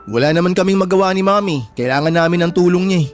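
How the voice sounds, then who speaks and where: Man acting a high-voiced, wounded younger brother. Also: fast, natural voice. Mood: resigned. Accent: Filipino. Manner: emotional, plaintive. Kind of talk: Tagalog radio drama acting. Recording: background music throughout.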